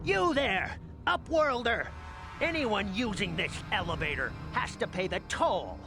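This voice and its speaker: An actor voicing a grasping beggar in a video game, barking out drawn-out demands. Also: mid-range voice, very animated, music in the background. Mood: demanding, aggressive. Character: shrill grasping beggar demanding a toll, streetwise bully.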